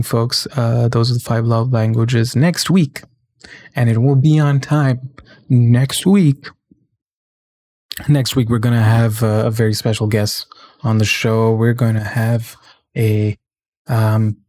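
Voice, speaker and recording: Mellow, halting man hosting a podcast. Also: mid-range voice. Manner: rambling, stammering, searching for words. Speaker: podcast host. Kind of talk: casual solo podcast chat, episode sign-off. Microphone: close studio mic on a stand.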